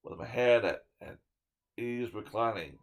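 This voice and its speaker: A man's voice: medium pitch, measured pace, creaky. Theatrical man reading a poem. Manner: theatrical, swinging from loud to hushed; stumbles and restarts words now and then. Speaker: storyteller reading a poem aloud. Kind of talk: dramatic poetry reading.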